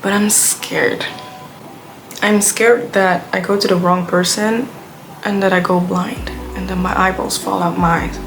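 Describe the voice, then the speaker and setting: A woman's voice: mid-range voice, steady tone, measured pace, rough recording. Rambling young woman vlogging. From Belgium. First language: Dutch. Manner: chatty, rambling, heavy use of "like". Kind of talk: casual rambling vlog rant. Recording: music playing under the voice.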